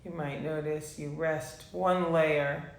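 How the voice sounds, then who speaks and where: A woman teaching a guided meditation class, speaking softly and unhurriedly with long pauses between phrases. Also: low-pitched, steady tone, creaky, roomy sound.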